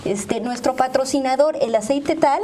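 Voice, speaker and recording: A woman's voice: high-pitched, normal speed, dark tone, slight background noise. A woman with a Mexican accent explaining points didactically as a guest in a panel discussion, arguing through examples. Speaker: panel guest, media ethics expert.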